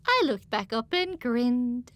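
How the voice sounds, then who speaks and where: A woman narrating a scripted first-person story as an audiobook, with an expressive, dramatic delivery. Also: fairly high pitch, slow, no echo.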